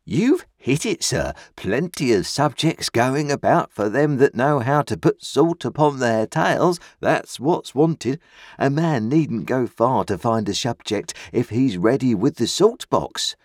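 A man reading aloud and voicing a pompous, blustering older uncle who lectures at length in a raised, drawn-out voice. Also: mid-range voice, animated, a little mellow, no room sound, studio quality, pitched above the performer's own voice. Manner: pompous, sermonizing.

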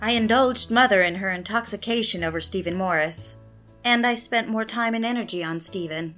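A woman's voice: mid-range voice, normal speed, fairly bright tone, faint music behind, lightly acted. A woman playing a hurt, defiant young personal secretary in an audio drama, earnest and emotional, also narrating her own story. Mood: reflective.

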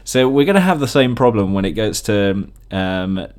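A man's voice: fairly low pitch, big pitch swings, moderate pace, a little mellow. A man hosting a podcast in a casual, chatty solo style, with a jokey manner. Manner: jokey, laughs often at own remarks; acts out imagined quotes. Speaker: podcast host.

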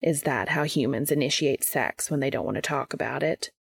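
Woman as a low, solemn, innocent male angel. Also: vocal fry, husky, no echo, exaggerated voice. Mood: earnest. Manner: stiff, deliberate, formal.

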